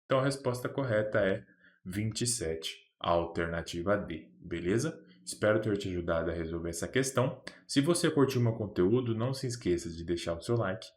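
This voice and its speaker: A man's voice: lowish voice, expressive, a little fast. A man with a Brazilian Portuguese accent teaching a math lesson step by step, patiently checking that the viewer follows. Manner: patient, keeps checking in with "beleza?".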